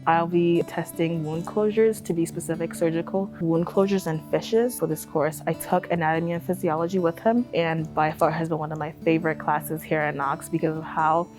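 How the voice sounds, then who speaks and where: Earnest lower-voiced female student. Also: steady tone, moderate pace, few pauses. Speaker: senior biology student. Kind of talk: promotional testimonial. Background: faint music.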